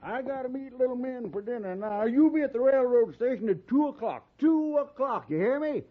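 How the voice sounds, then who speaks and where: An actor playing a loud, boastful, bullying old cattle baron in a radio Western, with a rambling drawl and an American Western accent. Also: male voice, very high voice, expressive, moderate pace. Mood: gruff, expansive. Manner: blustering, repeats himself, barks orders. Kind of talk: 1950s Western radio drama acting. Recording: muffled 1950s radio recording.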